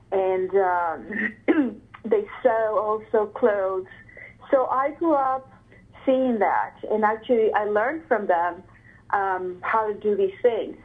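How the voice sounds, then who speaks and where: A woman from Ecuador answering interview questions, hesitant, with many fillers and frequent throat clearing. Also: mid-range voice. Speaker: interviewee, artist. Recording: phone-like narrow sound.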